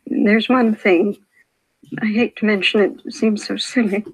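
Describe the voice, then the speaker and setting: An actress playing a frail, shaken older widow, hesitant, plaintive and apologetic, in a 1950s radio police drama. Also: female voice, mid-range voice, steady tone, measured pace, husky, poor audio. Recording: vintage 1950s radio broadcast.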